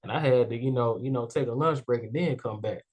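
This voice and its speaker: A man's voice: mid-range voice, brisk, darkish tone. A young adult man hosting a casual interview show, talking loosely and upbeat with many fillers. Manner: rambling, heavy "like" and "you know" fillers, upbeat. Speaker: podcast host.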